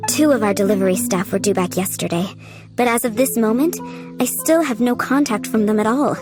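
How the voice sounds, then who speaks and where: A woman voicing a courteous, composed head housemaid in a video game, with polite and measured formal speech. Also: a little high, music in the background, close to an everyday voice. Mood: concerned. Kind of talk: video game character acting.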